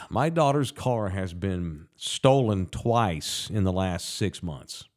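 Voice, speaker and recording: A middle-aged man, chatting casually as a podcast co-host, animated and quick to laugh. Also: low-pitched. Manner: animated, laughs often, jokey. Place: sports bar studio.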